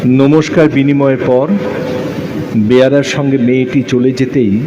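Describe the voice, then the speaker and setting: Actor as deliberate male storyteller, noisy dull recording. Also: medium pitch, steady tone, lightly acted. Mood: curious. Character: attentive male visitor recounting a stormy night. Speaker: first-person story narrator and listener. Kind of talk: Bengali audio drama narration.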